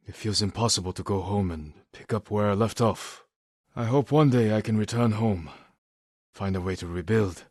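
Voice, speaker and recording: An actor playing a stoic, honorable samurai warrior in a video game, weary and grave, speaking in a measured, restrained and protective manner. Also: male voice, on the low side, darkish tone, no room sound, studio quality, lightly acted. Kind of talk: dramatic video game voice acting.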